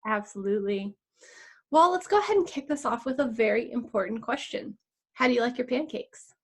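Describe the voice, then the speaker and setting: A woman's voice: a little high, expressive, fairly quick, slightly rough. A woman hosting a conversational interview, polite and affirming as she asks questions. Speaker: interview host.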